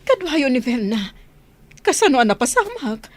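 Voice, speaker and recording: A woman's voice: high voice, very animated, normal speed. A middle-aged woman with an Ilocano accent acting an emotional village mother in a radio drama.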